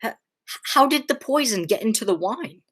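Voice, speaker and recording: A teenage woman playing an agitated, horrified young man who stammers and exclaims in a high school radio play. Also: animated, normal speed, fairly bright tone, husky. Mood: baffled, alarmed.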